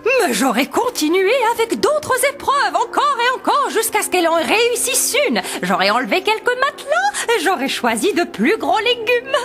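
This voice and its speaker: A woman's voice: very high-pitched, sing-song, moderate pace, few pauses, music in the background. A woman playing a scheming, gleeful queen mother in a cartoon, theatrical and exclamatory. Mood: satisfied, scheming. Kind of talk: French cartoon dubbing.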